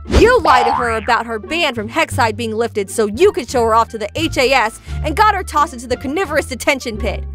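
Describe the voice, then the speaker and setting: A woman's voice: sits high, pushed and bright. A woman voicing an earnest, defensive teenage witch girl in a comic dub, speaking in an articulate, emphatic way. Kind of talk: comic dub character acting.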